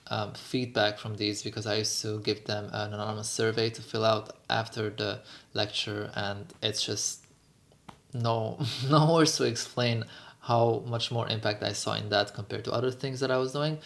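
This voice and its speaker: A man from Lebanon, a native Armenian speaker, answering questions in a casual, earnest, measured vlog style as an activist host. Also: fairly low pitch, gravelly, fairly bright tone. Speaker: vegan activist and video host.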